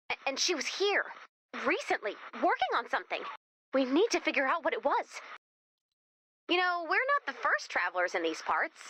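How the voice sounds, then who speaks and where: A woman playing an eager, curious young researcher who guides players in a video game, chatty and expressive as she thinks aloud. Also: high-pitched, exaggerated voice. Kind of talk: scripted video game dialogue.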